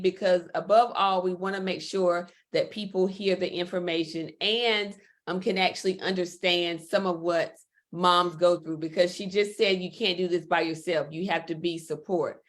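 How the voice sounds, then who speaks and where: Woman host, upbeat, encouraging, lowish voice. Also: steady tone, moderate pace. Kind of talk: livestream interview hosting.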